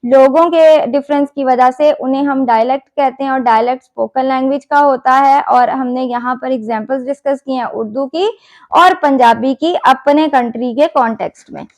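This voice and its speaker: A woman's voice: sits high, normal speed, darkish tone. A woman with a Pakistani Urdu accent, an English instructor explaining concepts in a recorded lecture, mixing English terms and asking rhetorical questions.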